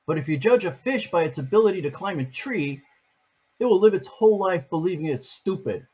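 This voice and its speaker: A man's voice: high voice, animated, fairly quick, darkish tone, husky. An older man, a grandfather, reading a picture book aloud to his grandchildren and chatting about each page. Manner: chatty, explains each page in plain words.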